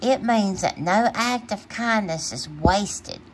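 A woman voicing a wise, gentle father bear in a children's storybook, measured and teacherly. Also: medium pitch, expressive, slight background noise, poor audio. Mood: wise, reassuring.

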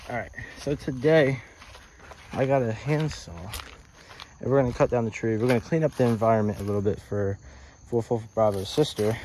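A man casually vlogging as he explores old airplanes in a rural field, excited, swearing and talking to his viewers on a phone camera. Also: on the low side, low-quality recording. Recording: phone audio outdoors with insect noise. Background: insects and crickets outdoors.

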